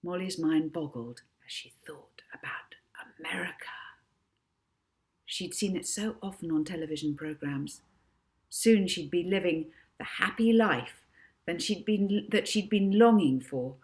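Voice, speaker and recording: A woman's voice: fairly low pitch, measured pace, darkish tone. A woman narrating a children's storybook expressively, as an author reading her own book.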